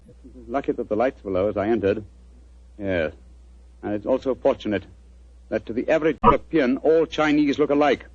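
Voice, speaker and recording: An actor playing a self-assured English gentleman detective in 1940s radio drama style, clipped and commanding, with a British accent. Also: medium pitch, fairly quick, long pauses, husky, no echo, lightly acted. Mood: assured, explanatory. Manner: clipped, authoritative, explanatory. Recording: dull old 1940s radio recording.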